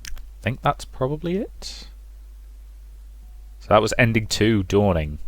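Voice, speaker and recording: A man's voice: fairly low pitch, sing-song, a little slow, dry recording. A man hosting a game playthrough, reading visual novel narration aloud and adding his own commentary. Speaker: let's player.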